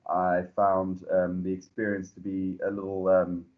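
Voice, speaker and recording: A South African man, a startup founder, answering podcast questions in a relaxed, rambling way full of fillers and restarts. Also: deep voice, unhurried, narrow, tinny audio. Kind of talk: podcast interview.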